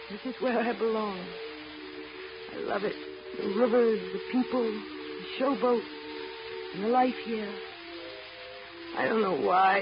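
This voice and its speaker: A woman's voice: fairly high pitch, close to an everyday voice. A woman playing a wistful, devoted showboat woman in a 1930s radio drama. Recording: dull 1930s radio recording.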